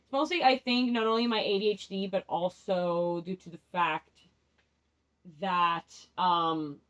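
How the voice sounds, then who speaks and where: A woman's voice: lowish voice, steady tone, a little slow, a bit bright. A young woman whose first language is Spanish, doing a rambling, candid tarot reading, often restarting her sentences and laughing at herself. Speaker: tarot vlogger. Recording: dull lo-fi sound.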